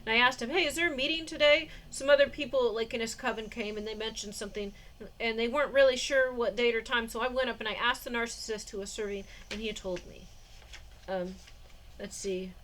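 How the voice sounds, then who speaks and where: A woman's voice: fairly high pitch, brisk, fairly bright tone, low-quality recording. A woman in her forties talking in a casual diary vlog, rambling with many fillers and frequent laughs, recorded indoors on a phone or camera mic. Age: 40s. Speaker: vlogger.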